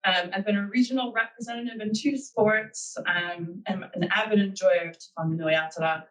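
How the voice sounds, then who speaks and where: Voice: medium pitch, fairly even intonation, a little fast, fairly bright tone, far from the mic, low-quality recording. A speaker with an American accent giving a webinar talk, earnest and thinking aloud with frequent ums. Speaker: guest speaker.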